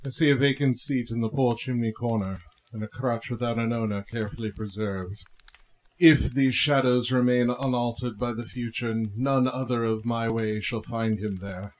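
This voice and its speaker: An actor playing a stern, righteous ghostly spirit who delivers scornful rebukes in a declamatory radio play style. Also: male voice, mid-range voice, fairly even intonation, moderate pace, close to an everyday voice. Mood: grave. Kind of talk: theatrical radio play acting.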